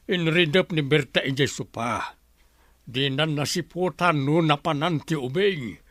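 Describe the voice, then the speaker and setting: A man's voice: a little high, a little slow, fairly bright tone, no room sound. A man acting a grumbling, scolding older father with an aching back in a radio drama, speaking with an Ilocano accent. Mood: uneasy, explaining. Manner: grumbling, reproachful.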